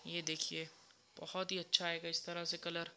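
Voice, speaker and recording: A young man talks through a shop's saree stock in a sales showcase, his voice bright and smooth. His delivery stays flat and even as he repeats the same phrases item after item. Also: on the high side, normal speed. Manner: repetitive, steady walkthrough of each item. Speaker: saree seller. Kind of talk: product showcase sales pitch. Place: indoor saree shop.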